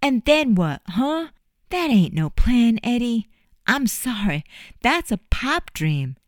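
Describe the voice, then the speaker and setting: A woman's voice: fairly high pitch, very animated, takes it slowly, no echo, clean studio sound, pitched above the performer's own voice. A woman voicing a proud young servant woman who speaks in folksy dialect, in an audiobook. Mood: impassioned. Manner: impassioned, folksy dialect speech. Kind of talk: audiobook character voice.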